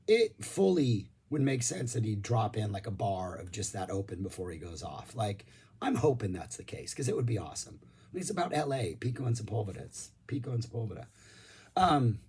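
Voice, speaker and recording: A hoarse man losing his voice, rambling enthusiastically about music in a casual review vlog, with lots of ums. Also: medium pitch, darkish tone. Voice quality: husky.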